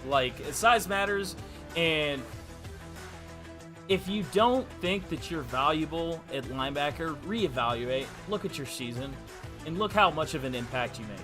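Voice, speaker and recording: A man's voice: fairly high pitch, animated, unhurried, over music. A man co-hosting a casual podcast as its producer, talking emphatically in slang and repeating himself to drive points home.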